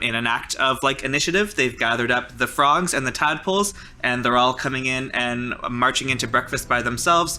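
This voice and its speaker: A man's voice: medium pitch, bright, forceful tone, a little hiss or hum. A man talking informally as a player in a tabletop game, with "like" peppered through his sentences. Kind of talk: casual game chat and narration.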